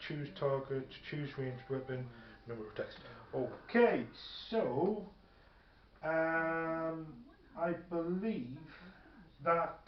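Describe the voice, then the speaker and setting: Male tabletop gamer, slightly high voice, hesitant and thinking out loud, muffled room sound. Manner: halting, thinking aloud. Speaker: tabletop wargame player. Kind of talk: casual game-table chat, talking through rules. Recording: muffled, echoey room with faint noise.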